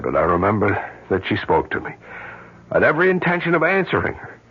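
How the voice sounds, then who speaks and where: Self-reproachful middle-aged doctor in vintage radio play; low, rough-breathed, halting, dull old radio sound. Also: male voice. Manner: halting, sombre. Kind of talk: old-time radio drama acting. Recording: muffled old radio recording.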